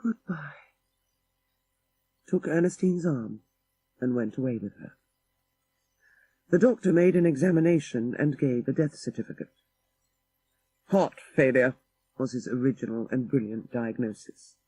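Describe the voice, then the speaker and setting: A man's voice: mid-range voice, moderate pace, warm tone, slightly rough, dry recording, rough recording. A man narrating a literary ghost story for an audiobook in a dramatic style.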